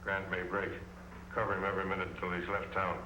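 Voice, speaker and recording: An actor playing a stern, cold racket boss giving orders in a 1940s film serial. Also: male voice, sits low, monotone, quick pace, close to an everyday voice. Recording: noisy, echoey old film soundtrack.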